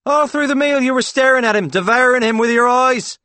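A man voicing a scheming male character who stages a theatrical, shrill tantrum as a wronged lover in an audiobook story. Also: brisk, sharp tone, no room sound. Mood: jealous outrage.

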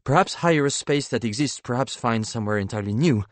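A man's voice: mid-range voice, expressive, fast talker, no room sound, pitched above the performer's own voice, natural voice. A man playing a polite, lovelorn banker in an audiobook, formal and earnest in his speech. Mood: earnest, persistent.

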